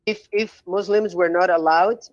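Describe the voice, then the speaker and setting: A man's voice: sits high, steady tone, moderate pace, no room sound, lo-fi sound. A man calling into a debate show, insistent and defensive as he argues his point. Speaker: caller. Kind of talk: heated call-in debate.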